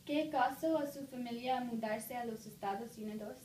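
Young woman interviewer, slightly high voice, careful learner's questions, far mic in a roomy office. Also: moderate pace, darkish tone. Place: office conference room. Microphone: far phone camera. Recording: roomy, distant, faint hiss.

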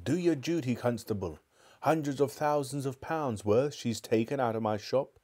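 A man's voice: medium pitch, moderate pace, a little mellow, voice pushed up, natural voice. A man voicing an angry fat shopkeeper who accuses a thief in a storybook reading. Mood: indignant. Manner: indignant, accusing.

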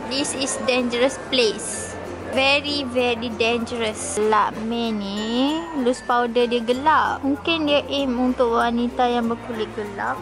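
A woman's voice: fairly high pitch, fairly even intonation, a little slow, low-quality recording. A woman in her twenties with a Malaysian accent chatting casually to her vlog camera, switching between Malay and English. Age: 20s. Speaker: vlogger. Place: busy mall restaurant and shops. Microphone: camera at arm's length or on table. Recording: loud music and crowd chatter behind.

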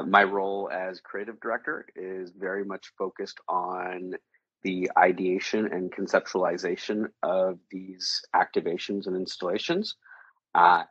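A man's voice: low voice, a bit bright, husky, no echo, muffled recording. A man from Chile, a guest answering interview questions thoughtfully, with frequent fillers and repeated words. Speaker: guest, creative director.

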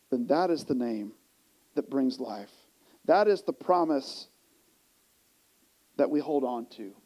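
A man in his forties preaching a sermon as a pastor, with an emphatic delivery that moves between hushed and raised. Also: fairly high pitch, rough recording. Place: church sanctuary stage, indoors. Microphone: small mic clipped to the chest.

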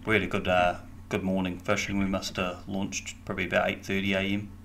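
A man's voice: sits low, little pitch movement, a little fast, gravelly, a bit bright, slight background noise. A man with a New Zealand accent giving casual voice-over commentary as a diving vlogger. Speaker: vlog host and diver.

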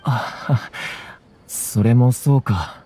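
A man's voice: medium pitch, drawn-out words, dark tone, husky, faint music behind. A man voicing a humble, puzzled civilian in a Japanese video game, speaking hesitantly.

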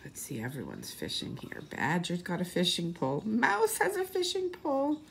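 A woman reading a picture book aloud expressively for young children, with pauses, asides and questions to her listeners. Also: on the low side, huge pitch swings, creaky, slightly rough. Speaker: children's story reader and teacher.

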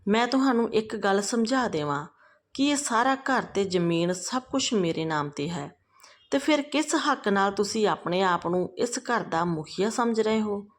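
Woman acting out an older widowed mother, firm, defiant, pointed delivery, mid pitch, audio storybook. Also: expressive, moderate pace, close to an everyday voice.

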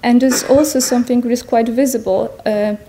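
A woman in her thirties with a Polish accent, giving an earnest personal talk to an audience in a large tent, pausing to search for words. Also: on the high side, steady tone, mellow, slight background noise, rough recording. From Poland. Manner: earnest, searching for words, non-native phrasing. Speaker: speaker at a Buddhist gathering. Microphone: stand mics in front of her.

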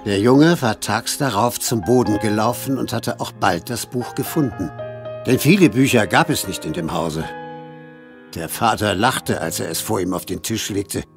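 An actor playing a thoughtful village schoolmaster who tells an old tale in measured, literary phrases for an audio drama. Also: male voice, on the low side, music in the background, lightly acted. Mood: measured, absorbed. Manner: measured, literary storytelling with emphatic swells. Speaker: storyteller. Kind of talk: German audio drama narration.

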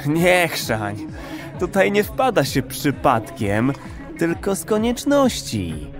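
A brash, animated, foul-mouthed guy. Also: on the high side, slow, over music, full character voice. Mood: cocky. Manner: vulgar, cocky, chummy slang. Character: brash, crude, streetwise male regular of a pleasure institute. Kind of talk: audio drama acting.

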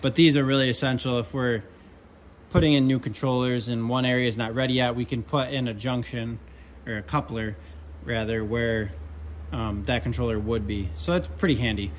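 A young man, an HVAC controls tech, chatting casually through his tool bag at a workbench, relaxed with lots of ums and asides. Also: mid-range voice, moderate pace, slight background noise. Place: workshop with pegboard and workbench.

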